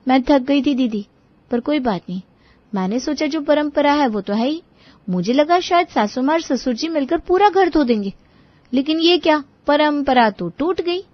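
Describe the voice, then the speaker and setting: A sly young daughter-in-law, put on by a woman storyteller, pleading and complaining in a high, mock-innocent voice. It is a family storybook role, recorded dry and somewhat muffled. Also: lively intonation, normal speed, dry recording. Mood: pointed, sly. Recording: dull lo-fi recording.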